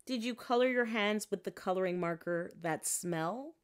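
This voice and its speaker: A woman voicing an excitable, easily alarmed mother in a children's storybook, with a dramatic, exclaiming manner. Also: mid-range voice, normal speed, clear, resonant tone, natural voice. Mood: suspicious.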